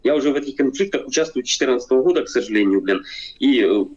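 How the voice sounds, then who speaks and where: A man's voice: mid-range voice, expressive, rapid-fire, darkish tone. A man in his forties from Ukraine, talking from an armchair at home over a video call, hesitant and full of fillers. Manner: hesitant, many fillers, repeats himself. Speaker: video chat guest. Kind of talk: casual debate over video chat. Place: home room, seated in armchair. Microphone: webcam on video call. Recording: dull lo-fi video call audio.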